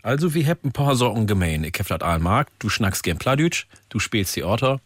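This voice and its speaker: Radio host man, lively up-and-down voice, a bit husky, dry studio sound, chatty and teasing. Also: on the low side, no room sound. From northern Germany. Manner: chatty, teasing, asks lots of quick questions. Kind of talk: casual radio interview. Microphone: studio microphone.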